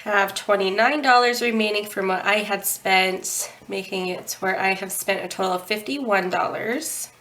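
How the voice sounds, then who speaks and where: A woman hosting a budgeting walkthrough, stuffy and nasal from a head cold, talking in an unhurried way with frequent ums and throat clearing. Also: mid-range voice, a bit bright. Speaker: budgeting channel host.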